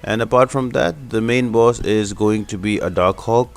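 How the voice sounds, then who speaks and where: A man's voice: on the low side, moderate pace, gravelly. A man hosting a gaming channel, giving explanatory step-by-step commentary for a video game guide. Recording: music behind the voice. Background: music.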